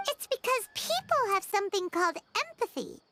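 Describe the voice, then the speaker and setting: Tiny fairy sidekick, extremely high squeaky voice, swooping intonation, emphatic, video game, loud music behind. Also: female voice, big pitch swings, normal speed. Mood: curious. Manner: chirpy, emphatic. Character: tiny squeaky excitable fairy companion, female, childlike. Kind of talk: scripted video game dialogue.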